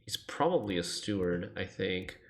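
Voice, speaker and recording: A streamer giving live gameplay commentary, thinking aloud in an animated, self-deprecating way. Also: low-pitched, normal speed.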